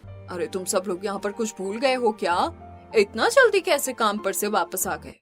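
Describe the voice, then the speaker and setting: A woman's voice: medium pitch, sing-song, normal speed, soft background music, lightly acted. A woman voicing a fretful, thrifty older mother-in-law who speaks in exclamations in a cartoon. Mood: worried. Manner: fretting, exclamatory.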